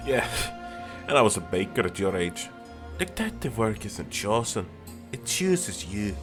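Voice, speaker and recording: Casting: male actor as seasoned detective, older, low voice, grave and tired, fantasy audio drama, music clearly behind. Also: sing-song, unhurried. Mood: weary, grave. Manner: weary, grave. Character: world-weary older male detective, grave, easily exasperated.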